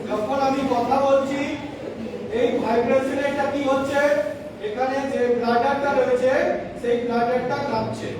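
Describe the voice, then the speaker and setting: A man's voice: very high-pitched, level tone, moderate pace. A man teaching a science class, repeating points and questioning the class. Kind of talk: classroom lesson with demonstration. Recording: echoey noisy room, distant mic.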